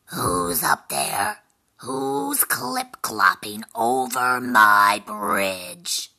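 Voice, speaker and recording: A huge, ill-tempered troll from a children's story. The voice is low and very gravelly, with a husky, forceful edge. Lines come out in a slow, booming growl. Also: female voice, sing-song, heavily acted. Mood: menacing, angry. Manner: slow, loud, booming delivery. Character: big, terrifying, grumpy troll under a bridge.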